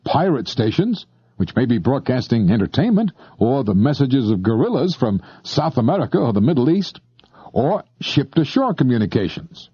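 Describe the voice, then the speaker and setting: A man's voice: mid-range voice, very animated, moderate pace, breathy edge, no echo. A man reading a scripted instructional narration, explaining things and addressing the listener directly. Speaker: narrator of an instructional shortwave radio tape. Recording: dull lo-fi cassette tape, dry.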